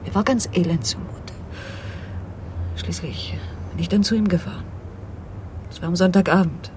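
A woman's voice: on the low side, moderate pace, a little mellow, lightly acted. An actress playing a cornered swindler pleading her case in a radio crime drama, earnest and confessional. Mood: regretful, earnest. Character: seductive female swindler, cornered, pleading, self-justifying. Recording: 1980s radio play, faint background noise.

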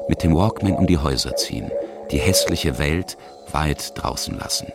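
A middle-aged man with a very low voice narrates a radio feature from a script. He grew up in Bavaria. Noticeable background noise runs behind his words. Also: normal speed, vocal fry. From Bavaria, Germany. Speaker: radio feature author and reporter.

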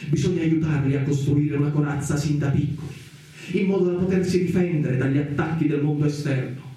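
Man acting a high-voiced pompous judge, echoing hall. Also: fairly even intonation, dark tone, close to an everyday voice. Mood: stern preaching. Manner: declamatory, drawn-out emphatic words. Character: pompous, zealous male judge condemning a heretic. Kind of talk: theatrical radio play monologue. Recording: very echoey, distant from the mic.

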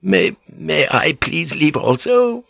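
A man's voice: fairly high pitch, big pitch swings, takes it slowly, creaky, a bit bright, no room sound, voice pushed up, exaggerated voice. A man playing a frightened elderly Japanese noblewoman in an audiobook, with a weak voice and timid, stammering delivery. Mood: timid, pleading. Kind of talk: dramatic audiobook character voice.

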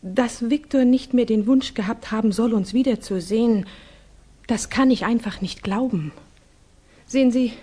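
A woman's voice: medium pitch, mellow, close to an everyday voice. An actress playing a formal, earnest young Russian noblewoman who is worried and grieving, in a radio drama. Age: young adult.

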